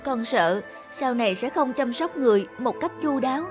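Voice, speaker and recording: A woman playing a gentle, dutiful young fairy girl in a cartoon folk tale, polite and earnest. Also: high-pitched, lively intonation, normal speed, lo-fi sound, over music. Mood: humble.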